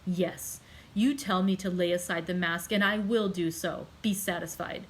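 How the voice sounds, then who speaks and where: A woman voicing a grief-stricken, angry young man, reading him with loud, impassioned, accusing outbursts in an audiobook. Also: fairly low pitch, fairly even intonation, normal speed, lightly acted. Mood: furious.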